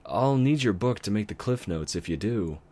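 A cheeky young male baby dragon, eager and boyish, talking in a lifted voice, as a man puts him on. He sounds keen and a little whiny, brighter than the storyteller. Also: on the low side, animated, normal speed, voice pushed up. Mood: casual.

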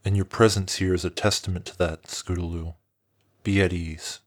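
A man voicing an ancient, gentle male dragon in a storybook reading, with a slow, soothing bass voice and a calm, knowing, gentlemanly manner. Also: lightly acted. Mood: calm, tender.

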